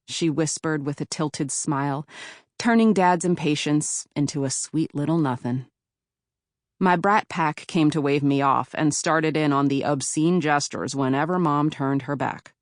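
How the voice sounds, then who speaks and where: A woman from Philadelphia reading her own memoir in a calm, measured way. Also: deep voice, dry recording, studio quality. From Philadelphia, USA. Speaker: author narrating her memoir. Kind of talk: audiobook memoir narration.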